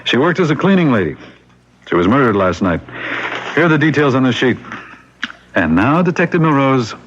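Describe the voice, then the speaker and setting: A man's voice: medium pitch, sing-song, a little fast, fairly bright tone, slight background noise. An actor playing a patronizing police captain in a radio drama, condescending and falsely jovial toward his detective. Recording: dull vintage radio recording.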